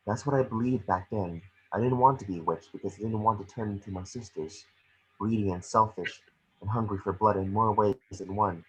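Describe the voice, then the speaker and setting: A man reading the part of a gentle, remorseful witch ghost mentor in an amateur table read, earnest and measured. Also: lowish voice, slight background noise, natural voice, rough recording. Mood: wistful.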